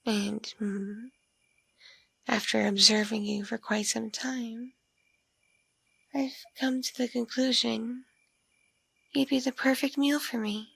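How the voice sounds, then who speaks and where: Woman acting a slow, husky, creaky vampire seductress. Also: a little high, steady tone, a bit bright, no room sound, exaggerated voice, low-quality recording. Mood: hungry, seductive. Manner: slow, teasing, hesitant hums and pauses. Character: seductive, hungry mature vampire mother, playfully condescending. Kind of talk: intimate ASMR audio roleplay.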